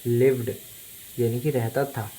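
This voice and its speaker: Indian guy, hindi speaker, teaching english real slow n deliberate, some noise in the background. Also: mid-range voice, level tone, dark tone, low-quality recording. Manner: deliberate, repetitive, explanatory. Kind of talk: bilingual language lesson, phrase by phrase.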